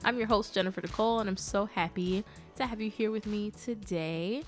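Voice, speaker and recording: A woman's voice: medium pitch, fairly quick, faint music behind. A woman hosting her own podcast in a casual solo chat, friendly and talkative, sounding nasal and congested from allergies, with frequent laughs and sisterly asides. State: congested, blocked sinuses. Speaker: podcast host.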